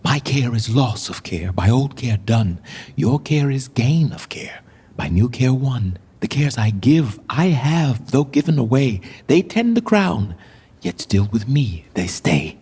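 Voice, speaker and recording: A man acting a deposed English king in Shakespearean verse, grieving and bitterly ironic, with a theatrical, deliberate delivery. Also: medium pitch, big pitch swings, husky, exaggerated voice. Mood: hushed sorrow. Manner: theatrical, deliberate, swinging from hushed to declaiming. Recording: long reverberant hall.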